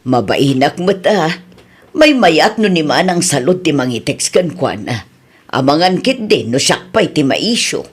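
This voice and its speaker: An actress playing a middle-aged village aunt in an Ilocano radio comedy-drama, chatty and expressive, with a Filipino accent. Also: female voice, sits low, a little slow, breathy edge, exaggerated voice. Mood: wry, concerned.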